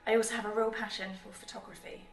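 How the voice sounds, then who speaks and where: A woman's voice: fairly high pitch, level tone, quick pace, gravelly, soft background music. A woman in her thirties giving a composed scripted testimonial. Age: 30s. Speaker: employee sharing her story. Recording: distant roomy video recording.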